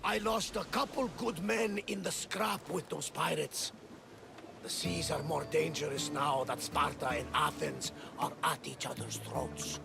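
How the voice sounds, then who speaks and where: A man's voice: a little high, unhurried, music in the background. A man playing a wily, coaxing seasoned sailor in a video game. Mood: businesslike. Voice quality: gravelly. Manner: sly, coaxing. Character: wily, cajoling seasoned sailor, male, persuasive and roguish. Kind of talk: dramatic video game dialogue.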